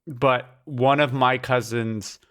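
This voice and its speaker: A man co-hosting a casual film podcast, talking quickly with plenty of fillers and agreeing readily. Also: mid-range voice, fairly even intonation, fairly bright tone.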